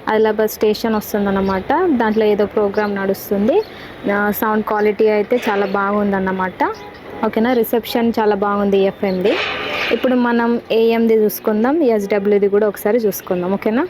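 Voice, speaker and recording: A woman's voice: mid-range voice, flat delivery, brisk. A woman giving a casual product demonstration, chatty and addressing viewers directly with frequent check-in questions. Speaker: gadget reviewer. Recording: clear background noise.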